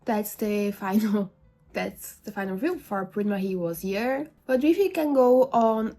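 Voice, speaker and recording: A woman's voice: medium pitch, darkish tone. A woman whose first language is not English, giving a casual, rambling book review with many fillers and self-corrections. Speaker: book vlogger.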